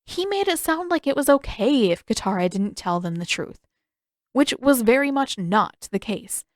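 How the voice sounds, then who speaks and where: A woman reading scripted fiction aloud as an expressive narrator. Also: on the high side, normal speed, dry recording, clean studio sound. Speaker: podfic narrator.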